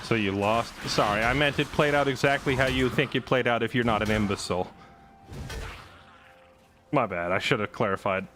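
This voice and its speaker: A man's voice: medium pitch, normal speed, long pauses, background noise. A man streaming a game, chatting casually and sarcastically, freshly woken from a nap. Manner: sarcastic, joking, laughs at own stories. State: just woke from a nap. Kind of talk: casual chat while gaming. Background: game music.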